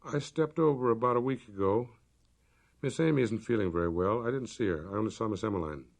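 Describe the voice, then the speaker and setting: A male storyteller voices a farmer friend in a short story. He is troubled and reticent, speaking plainly with a creaky, gravelly tone. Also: fairly low pitch, a little fast.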